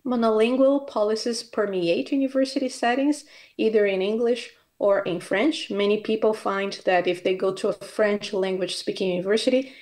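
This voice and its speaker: A woman's voice: medium pitch, normal speed, darkish tone. A woman from Brazil giving a conference presentation as a university academic, speaking with frequent fillers and restarts. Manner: hesitant, many fillers and restarts.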